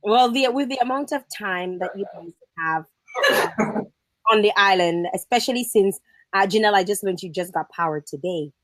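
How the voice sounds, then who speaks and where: A woman's voice: medium pitch, moderate pace, dry recording. A woman hosting a casual remote interview, chatty and teasing, with hesitant fillers. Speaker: host.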